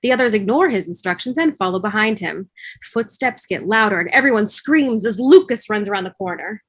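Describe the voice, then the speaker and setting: A woman's voice: mid-range voice, lively intonation, a little fast, breathy edge, no echo. A woman reading stage directions as scripted narration for a table read over a video call.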